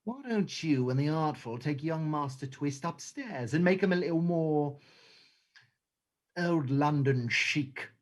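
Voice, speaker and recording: An actor as theatrical thieves' gang boss, stage play reading, oily endearments, very lively pitch, unhurried delivery. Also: natural voice. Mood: coaxing.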